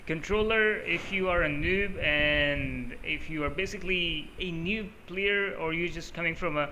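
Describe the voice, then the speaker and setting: A man's voice: a little high, a little slow, bright, forceful tone, a little hiss or hum, poor audio. A man whose first language is Urdu, giving a loose, rambling settings walkthrough over a screen recording, with plenty of filler sounds. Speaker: mobile gaming channel host. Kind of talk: casual off-the-cuff settings walkthrough.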